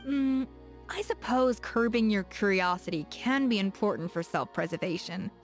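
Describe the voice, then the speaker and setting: A woman's voice: mid-range voice, lively intonation, moderate pace, faint music behind, lightly acted. Woman playing a self-assured, teasing chef. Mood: musing. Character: confident, playful young woman chef, proud and curious. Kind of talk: game character voice lines.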